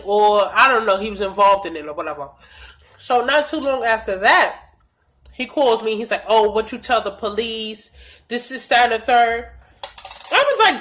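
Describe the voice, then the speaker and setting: A young woman tells a storytime vlog in a blunt, profane, animated way. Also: fairly high pitch. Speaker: vlogger.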